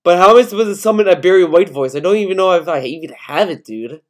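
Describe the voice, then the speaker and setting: A man's voice: high voice, expressive, fast. A man with an American accent from Connecticut, ranting casually as a YouTuber in a profane, rambling, defensive way. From Connecticut, USA. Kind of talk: casual ranting vlog commentary.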